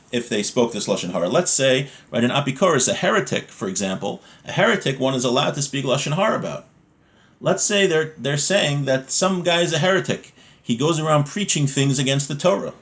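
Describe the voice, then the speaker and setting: A man's voice: mid-range voice, fairly bright tone. A man giving an informal religious lesson, reading from a text and explaining it in plain words, often asking questions and answering them himself.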